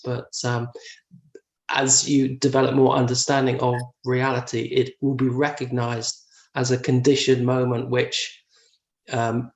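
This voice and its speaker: Gravelly, flat-voiced man in a roomy room. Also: medium pitch. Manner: hesitant, with fillers and soft laughs. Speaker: discussion participant. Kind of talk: casual discussion of Buddhist teaching.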